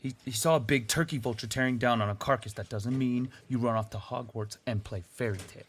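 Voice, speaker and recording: A man's voice: mid-range voice, a little fast, slight background noise, natural voice. A man playing a sceptical policeman in an audio drama, with a dry, dismissive manner. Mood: sceptical, exasperated.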